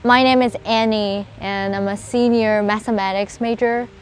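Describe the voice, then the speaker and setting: A young woman from China with Chinese as her first language, a college student answering interview questions in a halting, filler-heavy way. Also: mid-range voice, steady tone, soft background music. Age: young adult. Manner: hesitant, many fillers and restarts.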